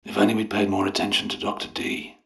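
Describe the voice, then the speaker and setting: An actor playing an anxious, earnest English royal doctor in a video game outbreak, well-spoken, polite and hesitant, with a breathy, husky voice. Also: male voice, on the low side, monotone, fast talker, fairly bright tone, heavily acted. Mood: regretful, weary. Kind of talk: dramatic game dialogue and audio diary entries.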